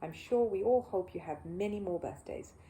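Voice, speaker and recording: A woman's voice: medium pitch, moderate pace, reverberant, natural voice, poor audio. A woman voicing a kindly, courteous male shopkeeper in a children's storybook. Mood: fond, modest. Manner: courteous, gentle. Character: kindly, courteous gentleman shopkeeper, friend of the bear. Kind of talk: children's storybook character reading.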